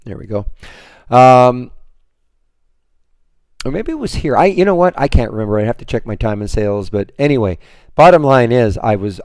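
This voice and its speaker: A hoarse man moderating a trading room, talking through his trades on a screen share in a rambling way, full of restarts and fillers. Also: on the low side, a little mellow, no room sound, studio quality.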